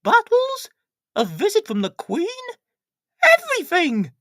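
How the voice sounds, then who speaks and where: A woman voicing an excitable, gushing country village lady in a children's storybook. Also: very high-pitched, a little slow, no room sound, clean studio sound, pitched above the performer's own voice, full character voice. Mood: excited, gushing. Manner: gushing, theatrical.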